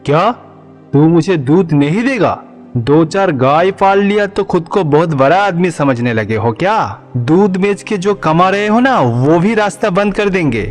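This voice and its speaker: An actor playing a bad-tempered village bully, rude, dismissive and threatening, in a cartoon story. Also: male voice, high voice, expressive, unhurried. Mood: angry threatening. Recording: long reverb, music behind.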